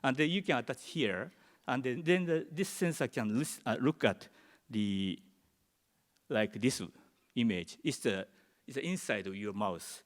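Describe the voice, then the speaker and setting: Japanese-accented male presenter, English as second language, halting delivery, mid-range voice, long hall echo. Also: medium pitch, lively intonation. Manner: halting, searching for words in English. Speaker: conference presenter. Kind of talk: conference presentation. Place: large conference hall.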